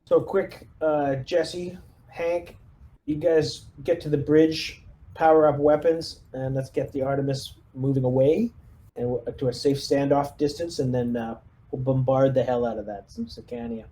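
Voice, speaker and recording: Mellow-voiced man leading a game squad. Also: medium pitch, steady tone, measured pace. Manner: informal, takes charge. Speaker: player acting as squad leader in tabletop game. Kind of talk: casual in-game chat and orders.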